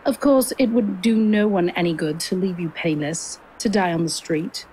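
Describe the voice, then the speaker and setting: A gracious queen of an audio drama , played by a woman, speaks in a low register with measured formality. She is composed as she addresses her subjects. There is clear noise and ambience behind her. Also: darkish tone, natural voice, rough recording.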